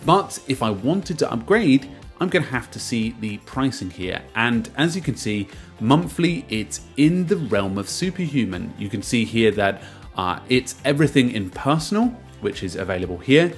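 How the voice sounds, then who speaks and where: A man narrates a software walkthrough in a casual, explanatory way, with a mid-range voice. He leans into the start of each sentence and lets the endings drop away, while music plays behind him. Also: mid-range voice, very animated, normal speed. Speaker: software reviewer and presenter. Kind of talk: casual narrated screen-share software review. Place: voice-over to a screen recording.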